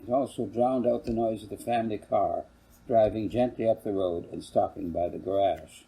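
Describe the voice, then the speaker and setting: An older man with a gravelly, husky voice, reading a novel aloud as narrator. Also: lowish voice, steady tone, moderate pace, warm tone, poor audio. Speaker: author reading own novel. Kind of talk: scripted audiobook narration.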